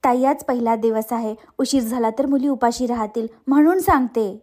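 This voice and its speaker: Poor mother in a cartoon fable, played by a female narrator: high-pitched, gentle, pleading. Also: normal speed, close to an everyday voice. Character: gentle, poor mother entrusting her daughters. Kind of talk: cartoon moral story voice acting.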